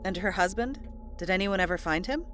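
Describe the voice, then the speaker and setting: A woman's voice: medium pitch, lively intonation, fairly quick, close to an everyday voice. A woman acting as a pleasant, curious wife in an audio drama. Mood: inquisitive. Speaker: house buyer. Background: faint music.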